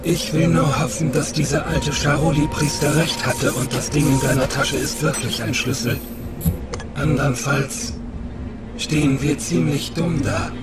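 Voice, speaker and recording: An actor playing a weary, anxious old dolphin-humanoid, grumbling and hesitant, in a science-fiction audio drama. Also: male voice, a little high, steady tone, a little slow, loud music behind the voice, close to an everyday voice. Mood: doubtful.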